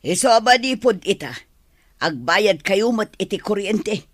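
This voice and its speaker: A woman's voice: medium pitch, expressive, measured pace, no echo, studio quality. A woman with an Ilocano accent playing a nagging, scolding older mother in an audio drama. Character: nagging older mother fretting over bills and gambling.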